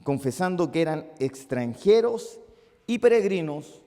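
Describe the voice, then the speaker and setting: Chilean pastor preaching, warm mellow voice, big echoey church hall. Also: male voice, on the high side, big pitch swings, a little slow. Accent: Chilean Spanish. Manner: impassioned, swings from loud emphatic peaks to soft musing asides. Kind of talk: sermon. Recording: long reverb of a church hall.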